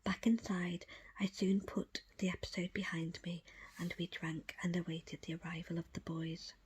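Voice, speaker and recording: A woman reading a book aloud as ASMR in a breathy, unvoiced whisper, with hushed, deliberate phrasing in short pieces. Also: on the low side, little pitch movement. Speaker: audiobook reader.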